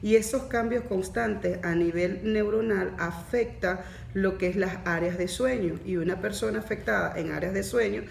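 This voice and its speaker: A woman's voice: mid-range voice, moderate pace, gravelly. A woman who facilitates a neurodiversity course, explaining things in a podcast discussion and asking pointed questions. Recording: echoey room with faint noise.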